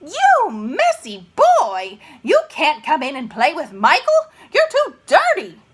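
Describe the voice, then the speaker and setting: A woman voicing an indignant, scolding mother in a children's storybook, shrill and emphatic. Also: huge pitch swings, takes it slowly, heavily acted. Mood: outraged. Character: scolding, horrified mother who turns dirty children away.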